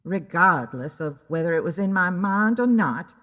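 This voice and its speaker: A woman's voice: on the low side, lively intonation, normal speed, a bit bright. A woman narrating a first-person story in a dramatic audiobook style. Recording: long reverb on the voice.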